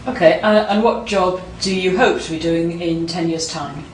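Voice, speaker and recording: A woman acting as an examiner, reading out formal test questions in a brisk manner. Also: fairly low pitch. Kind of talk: scripted exam questions. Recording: roomy, distant mic, faint noise.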